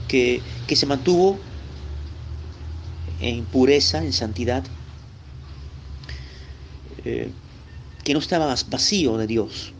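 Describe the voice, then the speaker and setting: A man's voice: mid-range voice, a little hiss or hum. A man from Lima, Peru, with a Peruvian accent, teaching a recorded Bible lesson in a conversational way. He speaks straight to the listener and often checks in with short tag questions. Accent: Peruvian Spanish, Lima.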